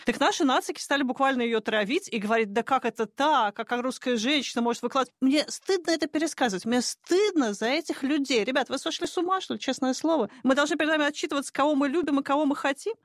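A woman in a casual talk-show conversation, speaking in a brisk, indignant and animated way. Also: high voice, no echo, clean studio sound.

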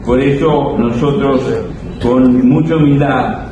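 An older man giving an unscripted political speech as a delegate, halting and deliberate in his phrasing. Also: medium pitch, steady tone, highs cut off, crowd noise. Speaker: conference delegate. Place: large conference hall. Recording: very echoey, distant, noisy hall.

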